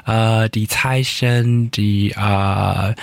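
Guest: Taiwanese-accented man, hesitant, slow delivery, dry sound. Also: fairly low pitch, steady tone, creaky, no echo. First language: Taiwanese Hokkien. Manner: hesitant, many fillers and restarts. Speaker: interview guest. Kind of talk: casual interview answers.

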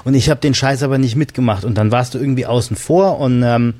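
A man's voice: medium pitch, fast talker, dark tone. A man hosting a casual podcast conversation, speaking colloquially and candidly with a joking streak. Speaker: podcast host.

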